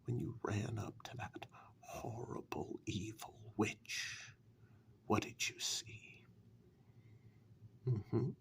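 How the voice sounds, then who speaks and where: A man playing a menacing, mocking warlock in a soft-spoken ASMR dark fairytale role-play, with slow, taunting questions and sly reassurance. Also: mid-range voice. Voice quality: breathy half-whisper with gravelly creak.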